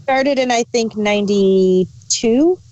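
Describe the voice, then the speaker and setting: A woman's voice: on the high side, takes it slowly, darkish tone, highs cut off. A woman chatting casually as the co-host of a review show. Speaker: podcast co-host reviewing audio drama.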